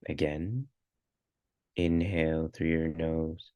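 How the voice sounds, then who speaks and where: A man leading a guided meditation, giving calm, measured instructions in short phrases with pauses between them. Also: a bass voice, smooth, dry recording, dull audio.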